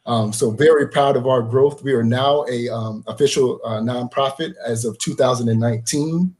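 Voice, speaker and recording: A man's voice: medium pitch, a little mellow. Earnest man, nonprofit co-founder. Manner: earnest, measured, many fillers. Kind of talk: casual panel talk.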